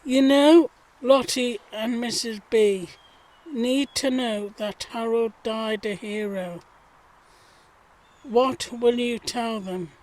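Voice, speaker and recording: A man's voice: very high-pitched, fairly even intonation, slow pace, a little mellow. A man playing a mild-mannered gardener in a period audio drama. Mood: friendly, concerned. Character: mild-mannered gentlemanly gardener, proud, simple, in 1919.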